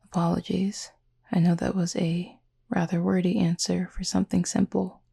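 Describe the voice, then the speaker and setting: Woman voicing a husky, gravelly, reserved cupid roommate. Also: lowish voice, little pitch movement, full character voice. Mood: sincere, reflective. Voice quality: husky, breathy, often near whisper. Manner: formal, measured, gently wry. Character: reserved, formal, tender supernatural cupid roommate. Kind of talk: intimate audio roleplay acting to the listener.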